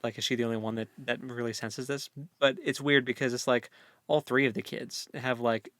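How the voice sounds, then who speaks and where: A man's voice: mid-range voice, steady tone, brisk, a bit bright, no room sound, clean studio sound. A man co-hosting a book discussion in a casual, meandering way, repeating words as he goes. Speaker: co-host of a book discussion show.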